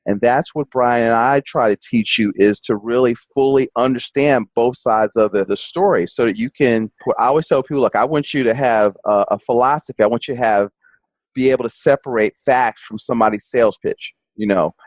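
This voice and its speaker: A man's voice: mid-range voice, a little fast, dry recording. Folksy, rambling male podcast host. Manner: folksy, rambling, frequent fillers. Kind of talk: casual interview chat.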